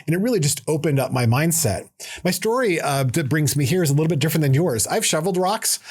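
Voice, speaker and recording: A man's voice: a little high, lively intonation, fast talker, slightly rough. Earnest, self-deprecating man co-hosting a podcast. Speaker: podcast co-host. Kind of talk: casual conversational podcast. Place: studio.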